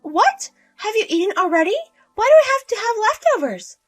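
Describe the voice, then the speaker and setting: A woman voicing a scheming older mother-in-law who talks in a shrill, scornful, domineering way. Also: very animated, moderate pace, faint music behind. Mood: indignant.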